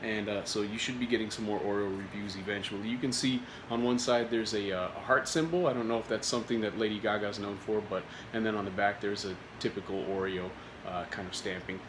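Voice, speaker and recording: A man's voice: fairly low pitch, fairly even intonation, a little fast. A man hosting a casual food review vlog, getting over a cold, rambling with many filler words and restarts. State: recovering from a cold. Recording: echoey room with faint noise.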